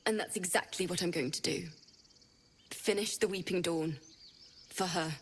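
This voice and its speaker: A woman playing a gentle, grieving young tiefling bard in a video game, speaking in a halting, confiding way. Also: lowish voice, a little hiss or hum, natural voice. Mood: tender, grieving. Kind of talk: video game drama acting.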